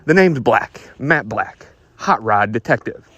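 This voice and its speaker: A man's voice: mid-range voice, lively intonation, measured pace, fairly bright tone, soft background music. A man playing a hard-boiled 1940s private detective in a radio noir parody, wisecracking in clipped old-time gumshoe slang. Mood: brooding, dramatic.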